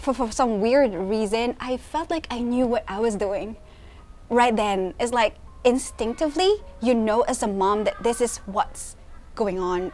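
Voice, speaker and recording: A woman in her late thirties telling her story in a brisk, matter-of-fact way. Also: a little high. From Singapore. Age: 30s. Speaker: mother sharing her story. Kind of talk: personal testimony.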